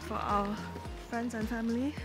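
A woman's voice: fairly high pitch, fairly even intonation, normal speed. A young adult woman chatting casually to camera as she vlogs her trip. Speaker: travel vlogger. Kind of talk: casual vlog chat. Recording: loud music over the voice. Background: music.

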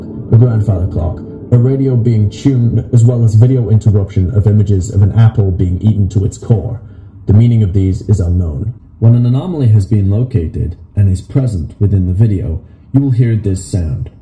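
A man reading scripted horror film narration in a formal, clinical manner. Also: on the low side. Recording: echoey narration over music. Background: music.

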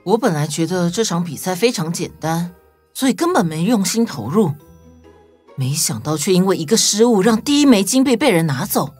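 A woman's voice: lowish voice, big pitch swings, moderate pace, a little mellow, breathy edge, faint music behind. A woman voicing a serious, clever detective who explains things confidently in a children's audio story. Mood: determined.

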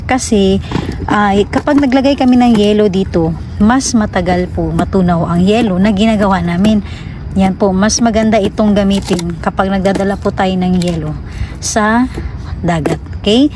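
A woman's voice: medium pitch, noisy background. A young adult woman from the Philippines, chatting casually to her viewers as she shows her gear. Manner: chatty, addresses viewers as guys. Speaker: vlogger. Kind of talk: casual vlog narration. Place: outdoors at night by a parked van.

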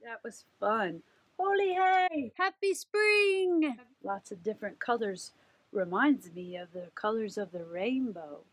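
Slow-paced woman reading to young children. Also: on the high side. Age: middle-aged. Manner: expressive, asks the listeners simple questions. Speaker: children's librarian story time host. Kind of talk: read-aloud storytelling for young children.

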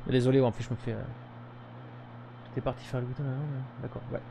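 A man's voice: mid-range voice, flat delivery, quick pace, vocal fry, warm tone. A young man chatting casually on a live stream from his room, rambling with lots of fillers. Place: home room with webcam. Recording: noisy stream with game sound.

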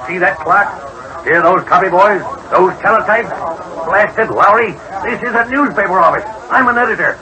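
An actor playing a gruff, impatient newspaper editor in an old radio drama, dismissive and full of sarcastic wisecracks. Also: male voice, high voice, normal speed, a crowd in the background, close to an everyday voice, rough recording. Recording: old radio recording, busy background clamor.

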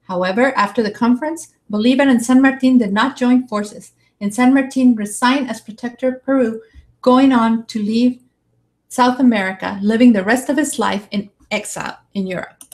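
A woman's voice: on the high side, normal speed, a little mellow. A woman in her thirties reading a scripted narration aloud into a studio microphone indoors. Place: small room, indoors. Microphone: studio condenser mic with pop filter.

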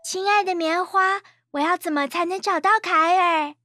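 A woman's voice: extremely high pitch, steady tone, moderate pace, sharp tone, dry recording, clean studio sound, faint music behind. A woman voicing a cautious, loyal little girl in a cartoon, talking earnestly and with worry. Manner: earnest, worried. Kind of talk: cartoon dubbing.

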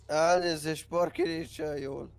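A man chatting casually in a game voice chat, with loud car noise around his voice. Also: a little high, steady tone, smooth, soft background music. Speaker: roleplay gamer.

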